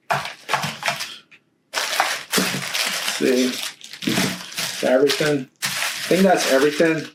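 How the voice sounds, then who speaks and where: Slow-talking man in an echoey room. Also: medium pitch, gravelly. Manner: halting, thinking aloud. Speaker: product reviewer. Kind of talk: casual hands-on unboxing and review commentary. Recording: roomy echo, faint background noise.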